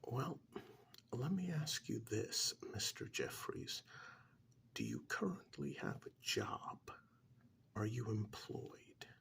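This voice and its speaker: A man playing a calm, probing couples therapist in a soft-spoken ASMR role-play, measured and deliberate with a breathy voice, close to the microphone. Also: mid-range voice, heavily acted. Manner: measured, deliberate, pausing between short phrases.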